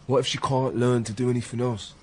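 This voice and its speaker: A man's voice: medium pitch, flat delivery, quick pace, lightly acted. An actor playing a blunt, defensive British police detective, a man who talks colloquially in a naturalistic radio drama. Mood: troubled, uneasy. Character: hot-headed, defensive male police detective, younger colleague.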